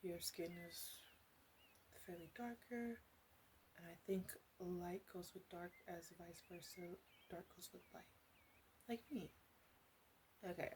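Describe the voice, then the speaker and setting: A woman playing a flirty, doting, slightly bossy witch in an ASMR roleplay, rambling and hesitant as she slips in and out of whispers. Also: fairly low pitch. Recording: clearly audible background noise.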